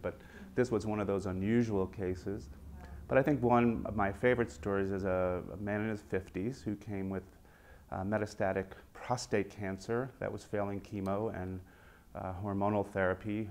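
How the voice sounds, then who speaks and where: Low-voiced man telling patient stories. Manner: measured, anecdotal, lively when quoting patients. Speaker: physician panelist. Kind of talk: storytelling in a panel interview. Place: conference room with a long table.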